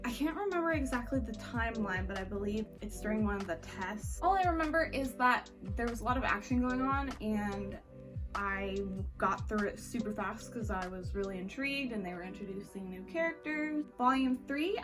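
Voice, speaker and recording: Higher-pitched woman, casual vlogger over music. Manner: informal, peppered with "like". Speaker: book reviewer hosting a reading wrap-up vlog. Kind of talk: casual chatty review to camera. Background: background music.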